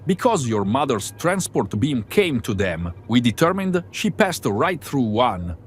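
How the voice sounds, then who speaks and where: A man's voice: medium pitch, big pitch swings, measured pace. A man playing a precise, reassuring, science-minded crew member in a fan audio drama. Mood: matter-of-fact. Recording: faint background noise.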